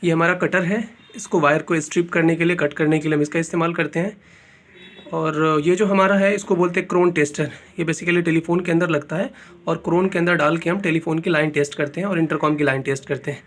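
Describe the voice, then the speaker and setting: A man's voice: on the high side, fairly even intonation, quick pace, fairly bright tone. A man presenting a hands-on technical tutorial as a technician, explaining step by step, repeating points and mixing English technical terms into his speech.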